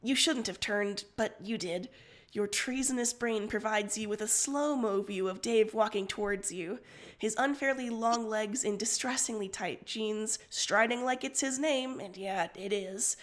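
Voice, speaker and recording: A woman reading fan fiction aloud on a livestream in an expressive, informal way. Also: medium pitch, measured pace. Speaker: story reader on a livestream.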